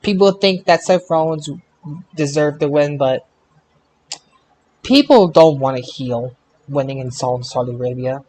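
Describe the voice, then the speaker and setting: A man hosting a casual panel podcast about wrestling results, speaking in an emphatic, excitable way. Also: on the high side, unhurried, long pauses. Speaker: podcast host.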